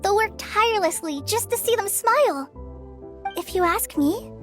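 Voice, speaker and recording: Very high, eager little girl. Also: animated, normal speed, a bit bright. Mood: earnest, reassuring. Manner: childlike, simple eager phrasing. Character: cheerful, earnest little girl full of wishes. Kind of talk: video game cutscene voice acting. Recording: background music under the voice.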